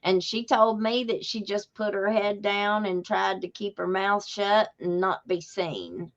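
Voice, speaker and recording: Unhurried Appalachian woman, folksy and chatty. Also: mid-range voice, dull audio. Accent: Southern Appalachian American. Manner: folksy, conversational. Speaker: podcast host. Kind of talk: casual chat.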